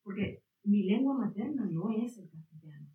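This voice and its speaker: A woman's voice: medium pitch, lively intonation, normal speed. A woman whose native tongue is Guaraní, talking from a distance in a roomy, echoey hall. The sound is thin and phone-like with a faint hiss. She wanders through her point, searching for words. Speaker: audience member asking questions. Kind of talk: long spontaneous question at a public debate.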